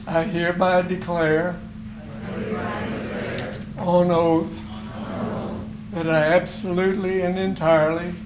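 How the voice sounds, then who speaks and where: Man officiating a citizenship ceremony in a large council chamber; high voice, slow and deliberate, room echo and steady background noise. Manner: slow, phrase by phrase, pausing for repetition. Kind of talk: administering the oath of allegiance.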